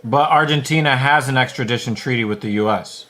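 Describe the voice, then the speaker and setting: A man's voice: mid-range voice, fairly quick, fairly bright tone. A young man co-hosting a podcast, teasing his friends with crude, dry humor in casual chat. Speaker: podcast co-host. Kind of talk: casual banter.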